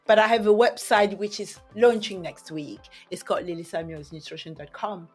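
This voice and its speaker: A soft-voiced woman, a nutritionist, chatting as a podcast guest, animated and quick to laugh, often restarting her sentences. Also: medium pitch, husky, faint music behind. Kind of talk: casual interview chat.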